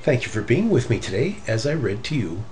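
A middle-aged man reading a children's story aloud in an expressive, deliberate way. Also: medium pitch, over music. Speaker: storybook reader.

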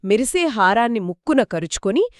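Expressive woman telling a children's story. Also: a little high, fairly quick, no echo, studio quality. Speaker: co-host storyteller. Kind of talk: scripted children's storytelling in dialogue.

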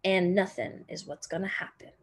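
A woman voicing a gruff, grumbling old man neighbour in a storybook, stern and emphatic. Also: fairly low pitch, fairly even intonation, moderate pace. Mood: stubborn. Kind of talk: storybook character reading.

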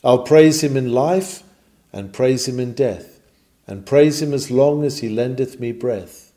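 A man's voice: mid-range voice, unhurried, mellow. A man reading out an old-fashioned scripted sermon in an oratorical, emphatic, dramatic manner. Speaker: narrator reading a historical sermon.

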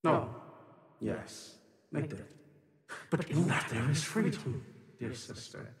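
A man voicing an ancient, bitter, otherworldly sister in a tabletop game, solemn, halting and dramatic. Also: on the high side, expressive, very noisy, pitched above the performer's own voice. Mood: fervent. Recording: long reverb on the voice.

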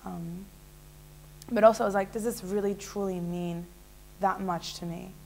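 A woman's voice: medium pitch, fairly even intonation, normal speed, gravelly, a little hiss or hum, low-quality recording. A young woman in a small indoor room, telling her personal story to a fixed camera in a casual, rambling way with many fillers. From Israel. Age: young adult. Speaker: interviewee.

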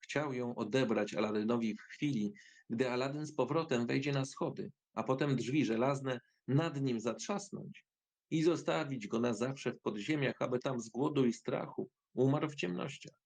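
A man narrates a fairy tale as a scripted audio drama. His voice is gravelly and creaky, at an average male pitch. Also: normal speed, a little mellow.

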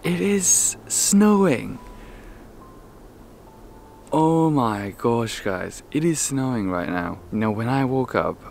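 A man's voice: medium pitch, animated, takes it slowly, creaky, long pauses, a little mellow, a little hiss or hum. A young man vlogging casually as he tours a countryside guest house, chatty and upbeat with frequent ums, filming himself at arm's length. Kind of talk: casual travel vlog tour. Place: guest house indoors and snowy farmyard outdoors. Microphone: handheld camera at arm's length.

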